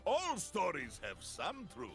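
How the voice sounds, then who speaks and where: An actor playing a big, kindly strongman hero in a video game, jovial and gentle, with a Slavic-style accent and broken-English phrasing. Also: sits high, huge pitch swings, takes it slowly, gravelly, over music, exaggerated voice. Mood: kindly, reassuring. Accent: Slavic-style foreign.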